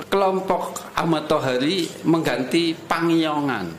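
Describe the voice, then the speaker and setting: A man with a Tegal Javanese accent who tells stories in a relaxed way as a podcast guest, mixing regional dialect into his talk. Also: on the high side, measured pace, roomy sound, slight background noise. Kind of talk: casual conversation.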